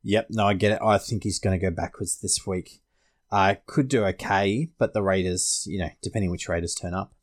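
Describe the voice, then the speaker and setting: A man's voice: low-pitched, steady tone, a little fast. An Australian man hosting a sports podcast, casually discussing fantasy rugby league.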